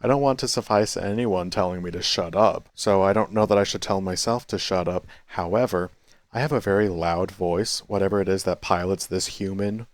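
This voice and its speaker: A man's voice: sits low, normal speed, no room sound. A man vlogging in a rambling, reflective monologue, thinking aloud with frequent restarts and self-corrections. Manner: quits smoking, chews sunflower seeds. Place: cafe.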